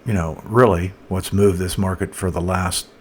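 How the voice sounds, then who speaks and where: A man presenting a casual, unscripted chart commentary as a market analyst, thinking aloud in a halting way. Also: low-pitched, fairly even intonation. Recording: noticeable background noise.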